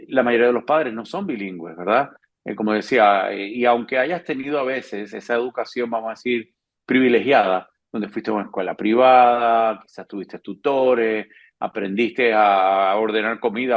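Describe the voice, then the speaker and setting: A man hosting an interview, asking long, winding questions with many hesitations and fillers. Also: fairly low pitch. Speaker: interview host. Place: South Florida. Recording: slightly dull lo-fi sound.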